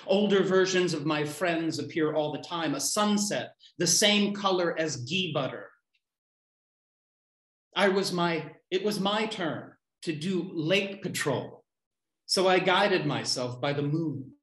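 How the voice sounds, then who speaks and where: Guy in his 50s doing a poetry reading over video call, roomy echo, pauses between lines. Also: a little high, animated, a bit bright. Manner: declaiming short unconnected lines with deliberate pauses. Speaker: poet reading own poems. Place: small room at home. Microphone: computer mic on a video call. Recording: roomy, echoey home video-call sound.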